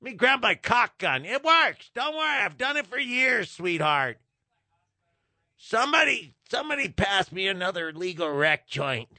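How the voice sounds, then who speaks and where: A man putting on the voice of a stoned woman with a smoker's rasp who does cheap back-alley cosmetic injections and sweet-talks her clients. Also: high-pitched, sing-song, normal speed, sharp tone, no room sound, full character voice. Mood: sleazy, cajoling. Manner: sleazy, reassuring patter to a client.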